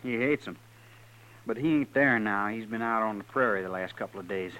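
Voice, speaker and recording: A man's voice: lowish voice, steady tone, brisk, a bit bright, muffled recording, natural voice. Man playing an earnest young frontier farm boy. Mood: worried. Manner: simple, folksy, earnest. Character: plain, earnest young rural frontier son. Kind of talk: 1950s western radio drama acting. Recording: noisy old radio recording.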